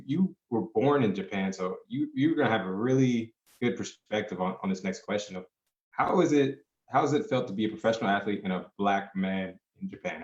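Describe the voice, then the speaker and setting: A man's voice: fairly low pitch, lo-fi sound. Man hosting from a roomy distant mic. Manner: halting, repeats words, friendly. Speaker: panel host. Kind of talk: hosting a panel discussion.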